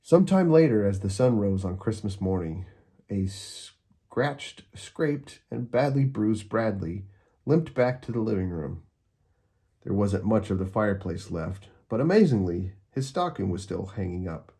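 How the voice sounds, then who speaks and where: A man narrating a children's storybook aloud, expressively and with deliberate, dramatic emphasis. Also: lowish voice, lively intonation, dark tone.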